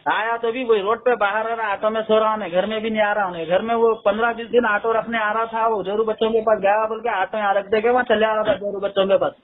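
Male caller with a Hyderabadi accent, extremely high pitch, reasoning informally over a narrow phone line. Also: little pitch movement, fast, hardly any pauses. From Hyderabad, India. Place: telephone call, played in a news upload. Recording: tinny phone call recording.

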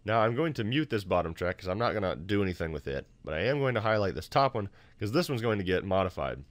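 Low-voiced man, dry casual tutorial. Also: very animated, fast, dry recording. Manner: informal, self-deprecating, explanatory. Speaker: tutorial host. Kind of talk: casual screen-recorded software tutorial. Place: home office room.

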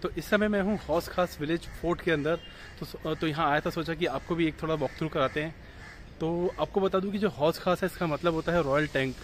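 A man's voice: a little high, a little fast, a little hiss or hum. A man in his thirties from India, chatting through a walking tour vlog on a phone held at arm's length, mixing English words into Hindi. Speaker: travel vlogger. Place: outdoors at an old fort and town streets. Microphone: phone camera held at arm's length.

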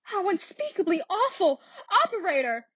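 A woman's voice: very high-pitched, sing-song, normal speed, fairly bright tone, no echo, dull audio, full character voice. A woman playing an anxious, demanding invalid wife in an old-time radio thriller, nervous and melodramatic. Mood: horrified, insistent.

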